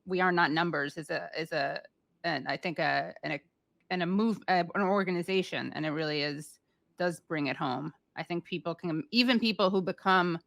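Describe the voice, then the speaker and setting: A woman hosting an interview, asking questions in a casual, halting way with frequent restarts. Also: lowish voice, vocal fry, fairly bright tone, no echo, studio quality. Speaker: interviewer, host.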